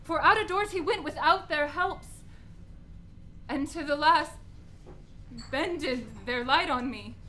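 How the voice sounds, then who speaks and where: A woman's voice: high voice, slow pace, pauses often, sharp tone, a little hiss or hum, full character voice. An actress playing a frightened, obedient young noblewoman, delivering Shakespearean verse earnestly in a radio play. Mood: shaken, awed.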